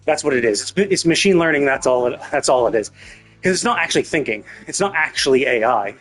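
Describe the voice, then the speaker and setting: A man's voice: mid-range voice, lively intonation, fast talker, fairly bright tone. A man live-streaming a story game, giving casual commentary in a rambling, repetitive, emphatic way. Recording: game music behind the voice. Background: game music.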